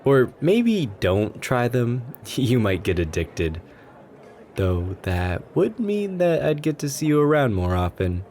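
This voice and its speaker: A man playing a shy, sweet, nervous writer chatting to a stranger in a café, in a gentle, rambling ASMR roleplay style. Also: mid-range voice, sing-song, takes it slowly. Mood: chatty, warm. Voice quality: gentle, soft-spoken. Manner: anxious, rambling, earnest. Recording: noticeable coffee shop ambience noise. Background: coffee shop ambience.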